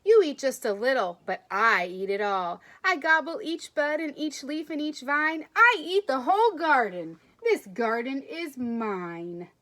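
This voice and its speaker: A woman's voice: high-pitched, sing-song, unhurried, hardly any pauses, pushed and bright, full character voice. A woman voicing a greedy woodchuck who sniffs and gloats about eating the whole garden. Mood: gloating.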